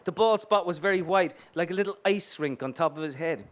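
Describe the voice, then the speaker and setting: A man's voice: brisk, natural voice. A man voicing a brash, wisecracking thirty-eight-year-old woman working the streets. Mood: sassy. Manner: sassy, wisecracking, lifts into a higher voice for her lines.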